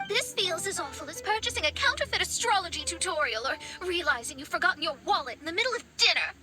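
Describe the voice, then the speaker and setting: A woman's voice: extremely high pitch, lively intonation, quick pace, music in the background. A woman voicing a proud, theatrical young female astrologer in an anime-style video game. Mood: dramatic despair. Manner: haughty, theatrical. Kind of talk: anime game character acting.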